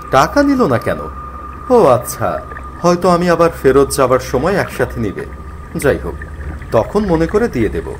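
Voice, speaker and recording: A man narrating a spooky first-person story in an expressive, dramatized way. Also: mid-range voice, slight background noise. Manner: expressive, acts out the character's worried thoughts. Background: faint music.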